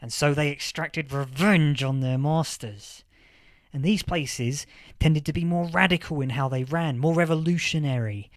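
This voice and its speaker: A man playing a thoughtful, earnest history professor in an audio drama interview, explaining things with halting, deliberate emphasis. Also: fairly high pitch, no echo, clean studio sound, lightly acted. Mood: earnest, lecturing. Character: male history professor of a post-apocalyptic future, thoughtful, earnest. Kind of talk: acted interview, lecturing explanation.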